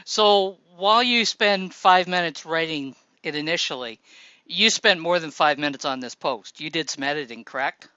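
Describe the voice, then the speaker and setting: Man, casual chat host, crisp, dry close sound. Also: a little high, moderate pace, dry recording, highs cut off. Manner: relaxed, helpful, reads posts aloud. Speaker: show host.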